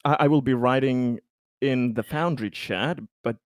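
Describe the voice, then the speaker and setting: A man chatting at a tabletop game, explaining things with an animated, often laughing manner. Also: mid-range voice, measured pace, dry recording, clean studio sound. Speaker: player. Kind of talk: casual game table chat.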